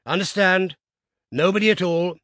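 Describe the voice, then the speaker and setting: A man's voice: sits high, normal speed, a bit bright, no echo, clean studio sound, voice pushed up. A man voicing a hearty, bluff older boss of a firm. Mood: commanding. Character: hearty, stout, commanding older male boss.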